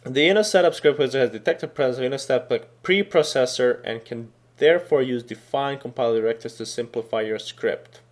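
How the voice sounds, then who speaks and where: A man whose first language is Serbian, explaining a software installer step by step in a casual, thinking-aloud way with frequent fillers. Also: medium pitch, fairly quick, hardly any pauses. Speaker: software tutorial instructor. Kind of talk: screen-recorded coding tutorial.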